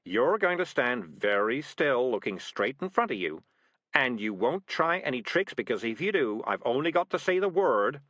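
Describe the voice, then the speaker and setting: A scheming street tomcat, put on by a man reading aloud, wheedling and slick with every excuse. The voice sits a touch higher than the narration and swings lively up and down. It is close and dry, with no room around it. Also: normal speed, dry recording. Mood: commanding. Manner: wheedling, smooth-talking. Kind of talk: audiobook character voice.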